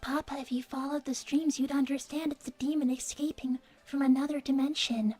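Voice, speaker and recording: Solemn child-like AI girl woman acting, high voice, flat formal phrasing, cartoon villain's dutiful daughter in livestream roleplay, faint music behind. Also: close to an everyday voice. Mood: grave, insistent. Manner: flat, measured, formal, earnest. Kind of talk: improvised in-character livestream Q&A.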